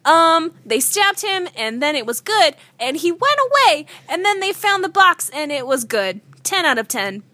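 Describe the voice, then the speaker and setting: Give this woman a high, bright voice with very lively swings in pitch. She is a podcast co-host doing slangy, mock-serious comic banter and reading. The sound is close and dry. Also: moderate pace, hardly any pauses, no room sound. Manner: giggly, easily cracks up.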